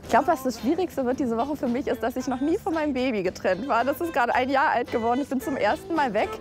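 A woman's voice: high-pitched, quick pace. A woman chatting casually and candidly as a participant in a reality show. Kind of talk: casual chat and to-camera remarks in a reality show. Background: music.

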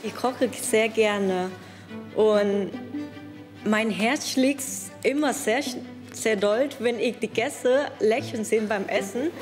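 Slow-talking woman. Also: fairly high pitch. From Thailand. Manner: slow, careful, simple phrasing. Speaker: restaurant owner and cook. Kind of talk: interview while cooking. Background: music.

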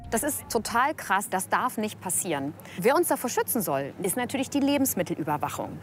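A woman's voice: mid-range voice, very animated, a little fast, soft background music. Emphatic woman food expert. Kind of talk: interview statement.

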